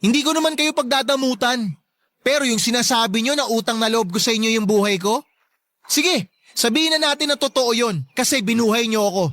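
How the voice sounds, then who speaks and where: A man's voice: very high-pitched, a little fast, a bit bright, no echo, clean studio sound. A young man playing a resentful, neglected grown son in a radio drama, plaintive and reproachful but respectful. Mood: hurt, reproachful. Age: young adult. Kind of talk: emotional radio drama acting.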